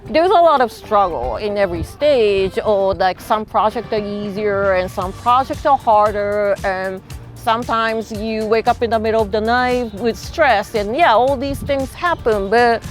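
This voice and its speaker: A woman's voice: a little high, unhurried. A middle-aged woman with a Japanese accent, a freelance illustrator speaking thoughtfully in an interview, often saying "you know" and "like". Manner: relaxed, thoughtful, frequent fillers like "you know" and "like". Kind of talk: interview-style talk to camera. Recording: soft music behind.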